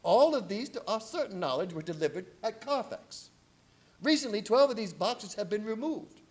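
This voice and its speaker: An actor playing a commanding vampire-hunting professor in a radio play, grave, formal and urgent. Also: male voice, high voice, animated, normal speed. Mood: grave, methodical. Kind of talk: live radio play acting.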